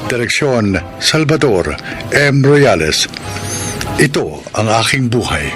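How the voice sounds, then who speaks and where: A Filipino man announces a radio drama in a formal, scripted way. His delivery is slowish with animated, swooping pitch. A clear music bed plays underneath. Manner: measured, formal. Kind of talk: scripted introduction and credits.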